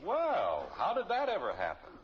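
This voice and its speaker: Flustered sarcastic 50s radio sitcom father, fairly high voice, old hissy recording. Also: wildly swooping pitch, normal speed. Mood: exasperated disbelief. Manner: sputtering, sarcastic, long-suffering. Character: exasperated middle-aged suburban father, easily flustered. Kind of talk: 1950s radio family comedy acting. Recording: muffled old radio recording, faint hiss.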